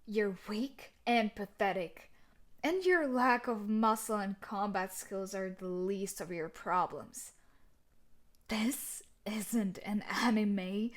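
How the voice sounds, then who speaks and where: A woman's voice: medium pitch, slow, lightly acted. A woman playing a tough, sadistic, possessive bully and martial artist in a roleplay audio drama, taunting and condescending. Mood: contemptuous, threatening.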